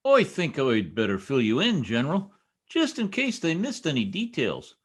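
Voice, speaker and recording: A man's voice: fairly high pitch, huge pitch swings, normal speed, husky, heavily acted. Man playing a blustering, swooping folksy windbag. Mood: self-important. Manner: folksy, bumbling, boastful. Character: blustering, long-winded, self-important husband, a tall-tale teller. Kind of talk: old-time radio comedy acting. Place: at home on a video call.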